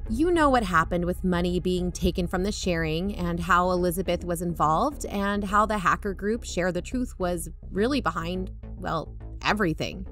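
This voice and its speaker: A woman's voice: on the low side, normal speed, few pauses, a bit bright, close to an everyday voice. A woman playing a frank, determined podcast co-host, talking in a conversational, matter-of-fact scripted style. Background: background music.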